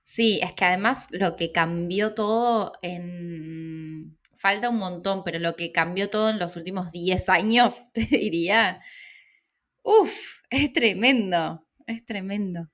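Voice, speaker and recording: A woman's voice: fairly low pitch, takes it slowly, a bit bright. A woman with a Rioplatense Argentine accent hosting a casual conversational interview, phrasing things informally and a little hesitantly. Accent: Rioplatense Argentine Spanish. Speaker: interviewer, host.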